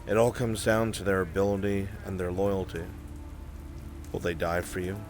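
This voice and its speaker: A man playing a patient, cryptic dark sage in improvised fantasy roleplay, giving ominous counsel in a solemn, measured, portentous way. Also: low voice, fairly even intonation, close to an everyday voice.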